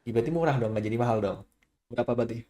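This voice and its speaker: A man's voice: medium pitch, dark tone, slight background noise. A man hosting a live video chat stream, bantering and teasing the stranger he talks to. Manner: teasing, quick comebacks. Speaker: streamer host. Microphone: video call.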